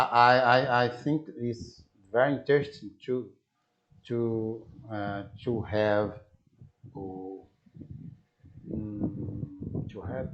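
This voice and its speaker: A man with a Brazilian accent makes casual conversation in a second language. He speaks slowly, repeats his phrases and stops to search for the next word. The sound is dull and lo-fi. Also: medium pitch. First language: Portuguese. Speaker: English teacher practising conversation. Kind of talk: casual cross-cultural conversation. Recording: dull lo-fi online call audio.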